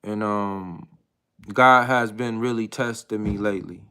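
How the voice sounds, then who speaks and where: A man in his thirties hosting a casual live chat with viewers, talking in a hesitant, reflective way with many pauses and restarts. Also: lowish voice, steady tone, sharp tone, clear, resonant tone. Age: 30s. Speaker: livestream host.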